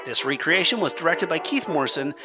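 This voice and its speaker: High-pitched, animated male radio announcer. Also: brisk. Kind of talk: scripted radio show credits announcement. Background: music.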